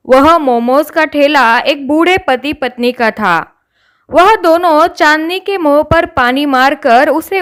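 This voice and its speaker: A woman's voice: sits high, a bit bright. A woman narrating a scripted children's story as a storyteller.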